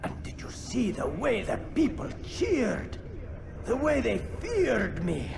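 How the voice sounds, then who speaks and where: Cruel zealot tyrant from a crusades game, really high voice, slow grand preachy delivery, music loud behind. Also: male voice, wildly swooping pitch, vocal fry, full character voice. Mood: gloating, unrepentant. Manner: declamatory, drawn-out, grandiose. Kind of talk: video game dramatic acting, oratory.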